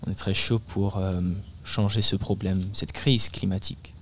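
A man's voice: sits low, little pitch movement, moderate pace, a bit bright, a little hiss or hum. An eighteen-year-old man, a climate activist guest in a radio interview, speaking earnestly with frequent hesitations. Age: teenager.

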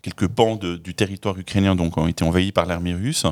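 Measured male podcast host. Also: low-pitched, steady tone, a little mellow. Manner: articulate, measured questions. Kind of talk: interviewing, partly scripted intro.

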